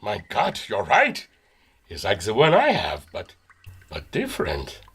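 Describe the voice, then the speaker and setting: An actor playing a gruff male crew member with a rough, gravelly voice. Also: on the low side, huge pitch swings, a little slow, fairly bright tone, exaggerated voice.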